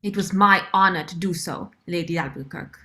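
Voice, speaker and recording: A woman playing a devoted, dignified older nursemaid in a radio drama, formal and measured. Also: lowish voice, bright, forceful tone. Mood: respectful.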